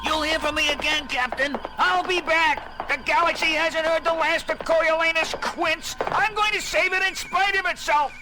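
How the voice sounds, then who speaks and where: Eccentric male inventor,, extremely high voice, earnest, pompous, defiant, theatrical audio drama, music behind. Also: fairly even intonation, normal speed, few pauses, poor audio. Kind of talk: science-fiction audio drama acting. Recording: music bed under dialogue.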